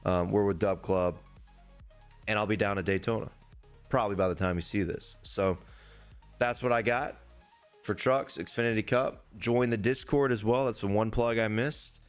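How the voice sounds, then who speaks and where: Hoarse man with a low voice. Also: steady tone, normal speed, soft background music. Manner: loose, rambling, profane. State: hoarse, losing his voice. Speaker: sports betting podcast host. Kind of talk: casual solo betting preview, swearing freely.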